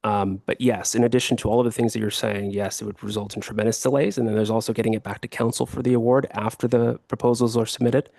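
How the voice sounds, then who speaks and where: A hoarse man, the city attorney, walks the council through a staff report, stopping to restart sentences and fill pauses. His voice is gravelly and creaky, and the recording is close and dry, with little room sound. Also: mid-range voice, little pitch movement, mellow, no echo. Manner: explanatory, with frequent fillers and restarts.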